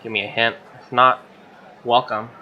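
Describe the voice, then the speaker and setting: A man's voice: mid-range voice, little pitch movement, normal speed, phone-quality sound, slight background noise. A young man streaming, reading game text aloud and adding slangy, offhand casual commentary. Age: young adult.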